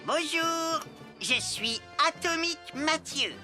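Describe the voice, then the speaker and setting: A woman's voice: high voice, animated, takes it slowly. A woman voicing an assertive, earnest little boy playing superhero in a French children's cartoon. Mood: earnest, proud. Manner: insistent, earnest. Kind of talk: French animated cartoon safety spot. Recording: music playing behind the voice.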